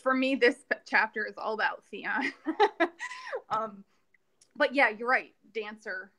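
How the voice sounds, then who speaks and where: A woman's voice: on the high side, a little slow, sharp tone. A woman talking in a casual book club discussion, rambling, with many restarts and "you know"s.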